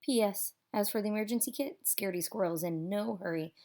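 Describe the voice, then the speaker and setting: A woman reads a children's picture book aloud in an expressive, theatrical way, with dramatic pauses and emphasis. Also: medium pitch.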